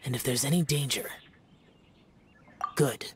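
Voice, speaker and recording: A man's voice: mid-range voice, a little slow, a little hiss or hum, natural voice. An actor playing a solemn, youthful male demon slayer in a video game, terse, stern and detached. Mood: aloof, stern.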